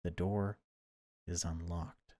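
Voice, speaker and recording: A man reading horror game text aloud as dramatic narration, in deliberate, broken phrases. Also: deep voice, level tone, dark tone. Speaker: gaming video creator reading a visual novel aloud.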